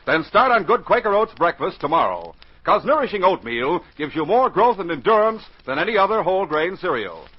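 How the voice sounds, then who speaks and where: Animated, husky old-time radio announcer, male. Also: high voice, a little fast. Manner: dramatic, emphatic, carefully enunciated. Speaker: announcer and narrator. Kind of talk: 1940s radio narration and sponsor announcing. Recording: dull 1940s radio recording.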